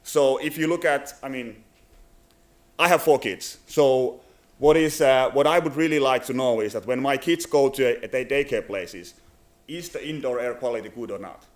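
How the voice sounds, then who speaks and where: A man from Finland in his thirties with a Finnish accent, giving a business presentation at a conference, halting with many fillers and restarts. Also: mid-range voice. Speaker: company presenter. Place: conference venue.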